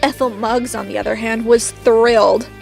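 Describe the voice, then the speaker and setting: Brief: woman as a high-voiced, haughty heiress narrator. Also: steady tone, normal speed, vocal fry, soft background music. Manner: haughty, dramatic storytelling. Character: spoiled rich teen girl, narrating to her father. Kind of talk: fan audio drama narration.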